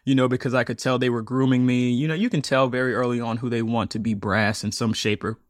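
A man in his thirties, a podcast guest, telling his story in a conversational interview with quick laughs. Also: medium pitch, steady tone, no room sound, studio quality.

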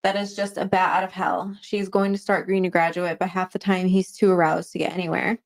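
A woman's voice: lowish voice, flat delivery, brisk. A tired woman with a faint Boston accent, co-hosting a casual live Q&A and reading viewer questions aloud with frequent laughs. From Boston area. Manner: chatty, giggly, reads comments aloud.

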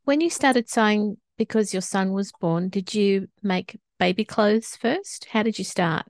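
A woman's voice: medium pitch, measured pace. A middle-aged or older woman hosting a podcast, speaking in a presenter's style as she introduces and interviews. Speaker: podcast host.

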